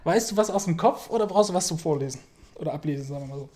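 A man's voice: on the high side, very fast, darkish tone, a little hiss or hum. A young man hosting an interview, asking questions in a casual, chatty way. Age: young adult. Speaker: interviewer, host. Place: indoor room, seated by a glazed door. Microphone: small handheld recorder held in his hand.

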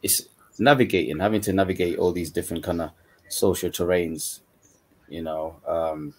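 A man's voice: sits low, fairly even intonation, normal speed. A man from Uganda, a guest mentor, talking casually on a video call from a dim room, with frequent "you know" fillers. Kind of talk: casual panel discussion.

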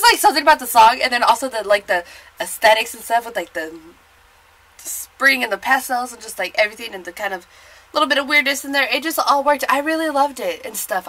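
A woman's voice: fairly high pitch, pushed and bright. A congested young woman chatting casually in a slangy, rambling way as she reacts on camera in a bedroom. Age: young adult. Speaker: reaction video vlogger. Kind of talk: casual chat, excited music video reaction. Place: small bedroom with bunk bed, indoors.

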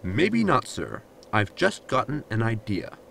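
An actor playing a sardonic, scheming sidekick to a space captain, deadpan and dryly insolent, in a sci-fi comedy audio drama. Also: male voice, medium pitch, measured pace, vocal fry, slight background noise, heavily acted. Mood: sly.

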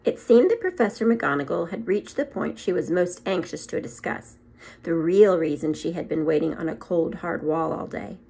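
A woman in her forties reading a story aloud to children in an expressive, teacherly way. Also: fairly low pitch, moderate pace, creaky, slightly rough. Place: small room at home.

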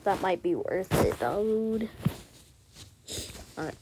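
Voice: medium pitch, lively intonation, takes it slowly, noisy background. A gamer giving casual, slangy gameplay commentary and often repeating words and phrases. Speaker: gaming video host.

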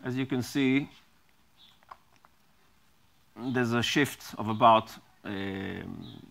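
A middle-aged man explaining and demonstrating a device he designed, speaking haltingly with many ums and restarts, in a small studio on a lapel mic. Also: mid-range voice, gravelly, fairly bright tone. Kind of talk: product demonstration.

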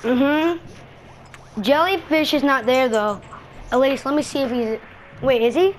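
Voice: sits high, slow, slight background noise. A young streamer chatting casually over gameplay in a slangy, rambling way. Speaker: gaming streamer.

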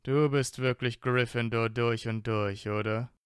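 An actor playing a proud, teasing young blond wizard in a fan fiction audio drama, with smug banter. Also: male voice, medium pitch, fairly even intonation, a little slow, clear, resonant tone, dry recording, clean studio sound, natural voice.

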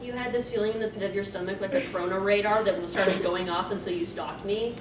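A woman's voice: mid-range voice, fairly even intonation, fairly quick. A young woman hosting a fan panel, reading fan fiction aloud in a theatrical, giggly way, with joking asides. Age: young adult. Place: convention panel room stage. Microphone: far camera. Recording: distant camera in echoey noisy hall.

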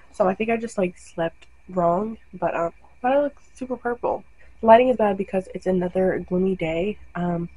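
A woman's voice: mid-range voice, a little mellow, slightly rough, rough recording. A young woman talking casually to the camera in her bedroom, rambling with lots of fillers. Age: young adult. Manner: chatty, rambling, many fillers. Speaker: vlogger. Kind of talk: casual vlog talk to camera. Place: bedroom at home. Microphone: webcam or phone camera close up.

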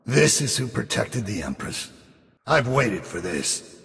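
A man playing a brooding, world-weary middle-aged assassin in a video game, with a gravelly voice and a grim, deliberate, menacing manner. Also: mid-range voice, big pitch swings, fairly bright tone, heavily acted. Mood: defiant, taunting. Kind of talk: video game voice acting, monologue and combat lines. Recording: long reverb, music underneath.

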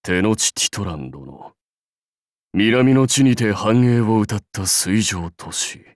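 Proud old-school samurai lord for a game, lowish voice, slow and stately, super dry sound. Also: male voice, animated, no room sound. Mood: measured, bemused. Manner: stately, archaic lordly speech. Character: commanding, dignified male samurai warlord, proud and seasoned. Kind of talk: Japanese mobile game character voice lines.

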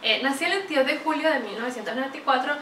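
Bright chatty young Venezuelan woman. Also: sits high, very fast, recorded from a distance, a little hiss or hum. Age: young adult. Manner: chatty, animated, gestures a lot. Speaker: vlogger. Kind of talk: casual chat to camera. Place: small bright room indoors. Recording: roomy echo, voice a bit off-mic.

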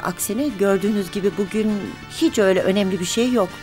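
Actress, tactful secretary, deferential, average pitch, background music. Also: female voice, quick pace, close to an everyday voice. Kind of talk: TV drama acting. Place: office, on set. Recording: music under the dialogue.

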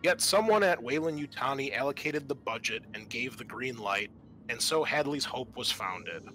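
Bright-voiced man hosting a tabletop game. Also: mid-range voice, expressive, moderate pace, faint music behind, low-quality recording. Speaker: game master and podcast host. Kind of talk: hosting a tabletop roleplaying podcast, casual introductions and narration. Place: online group call.

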